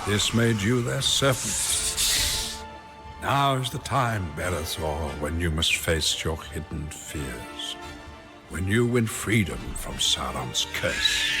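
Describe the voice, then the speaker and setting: Deep, grave old wizard over music. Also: male voice. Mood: grave, foreboding. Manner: portentous, deliberate, formal. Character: grave, commanding old male wizard guiding warriors in war. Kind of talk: solemn scripted game narration. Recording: voice under clear background music.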